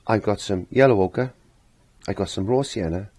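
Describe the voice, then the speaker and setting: A middle-aged man with a low, husky, croaky voice talks through a painting demonstration. He rambles casually, often repeating short phrases as if talking to himself while he works. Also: moderate pace, darkish tone, no echo. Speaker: painting instructor. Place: indoor home art studio.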